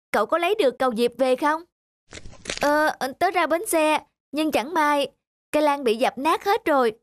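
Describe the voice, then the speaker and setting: Very high-pitched, earnest cartoon youngster. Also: female voice, no room sound. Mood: hesitant, concerned. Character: earnest, polite youngsters, flower-loving friends. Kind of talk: children's cartoon dubbing. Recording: loud music behind the voice.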